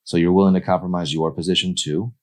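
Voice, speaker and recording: A wary, wounded married woman from a storybook drama played by a male narrator. She speaks with restraint and a pointed edge, in the reader's low register. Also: level tone, quick pace, darkish tone, lightly acted.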